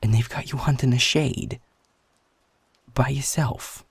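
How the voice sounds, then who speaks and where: A man voicing a feisty, flirtatious werewolf in an intimate audio roleplay, gruff, cocky and blunt, with a gravelly, husky voice. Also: medium pitch, fairly even intonation, unhurried, no echo, heavily acted. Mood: stunned, curious. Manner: swearing, teasing, informal and blunt.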